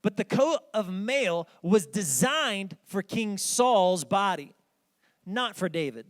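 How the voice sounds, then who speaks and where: High, squeaky-voiced male preacher. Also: lively intonation, takes it slowly. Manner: earnest, conversational storytelling with frequent "like". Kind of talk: sermon.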